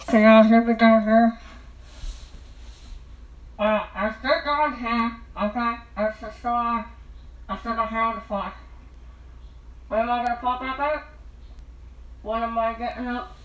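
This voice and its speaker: A woman's voice: on the high side, steady tone, unhurried, pauses often, phone-quality sound. Woman talking through a cardboard tube. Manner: repeats "okay?" after nearly every sentence. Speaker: vlogger. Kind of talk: rambling casual vlog talk. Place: indoors at home. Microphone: phone.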